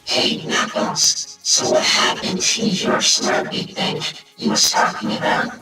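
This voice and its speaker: A man's voice: takes it slowly, pushed and bright. A man acting an impatient but level-headed animal hero in an amateur comic dub, urging in an exasperated way. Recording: distant echoey mic with music underneath.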